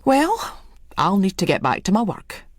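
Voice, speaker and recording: A woman's voice: mid-range voice, big pitch swings, measured pace, dry recording, clean studio sound, voice pushed up. A woman voicing an eccentric, proud old rustic man with a Scottish accent in an audio story, speaking in folksy dialect. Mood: plain, obliging. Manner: folksy dialect, matter-of-fact pride. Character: old rustic male hedger, proud, eccentric asylum leaver.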